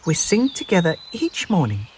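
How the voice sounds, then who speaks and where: A man's voice: fairly high pitch, wildly swooping pitch, normal speed, slight background noise, pitched above the performer's own voice. A man voicing a friendly but firm bird who conducts a woodland choir in a storybook, chirpy and emphatic. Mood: friendly, proud.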